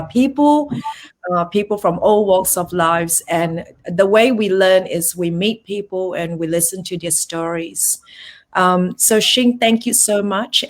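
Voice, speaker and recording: A middle-aged woman with a Malaysian accent talks over a video call as a guest on a live stream. Her voice sits a little low. She rambles casually, restarting her sentences and laughing easily.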